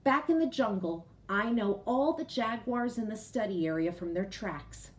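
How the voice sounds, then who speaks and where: A woman reading a picture book aloud to children like a teacher, expressive, pausing to explain and put questions directly to young listeners. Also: medium pitch. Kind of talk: storybook read-aloud with explanatory asides.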